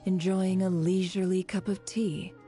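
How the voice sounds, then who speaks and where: A woman's voice: mid-range voice, fairly even intonation, moderate pace, warm tone, soft background music, close to an everyday voice. A woman voicing a haughty, theatrical, sardonic lady boss with dry wit in a video game, speaking in an imperious, self-satisfied way.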